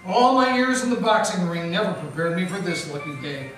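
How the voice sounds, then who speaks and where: A man playing a deadpan, wisecracking private eye who narrates his own case in an old-time radio play. His voice comes from far off in a very echoey hall, with music behind. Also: high voice, a little fast. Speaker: narrator and lead actor. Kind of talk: hardboiled 1940s radio detective narration.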